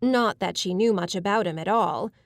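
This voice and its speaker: A woman reading a novel as an audiobook narrator, with a measured, polished literary delivery. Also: mid-range voice, dry recording, studio quality. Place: studio, dry and close.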